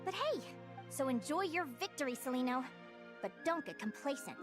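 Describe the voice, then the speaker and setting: Excitable young female researcher, anime game, very high girlish voice, animated, earnest, music underneath. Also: moderate pace. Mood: cheerful. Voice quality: girlish, bubbly. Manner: earnest, eager, emphatic. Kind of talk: anime-style video game voice acting.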